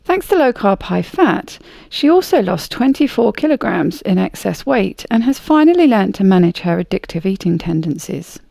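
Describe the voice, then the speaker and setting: A woman's voice: mid-range voice, sing-song, moderate pace, gravelly, hardly any pauses, dark tone, no room sound. A woman hosting a podcast, reading a scripted intro and then chatting with her guest in an upbeat, friendly way. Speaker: podcast host. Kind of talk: scripted intro, then casual interview chat.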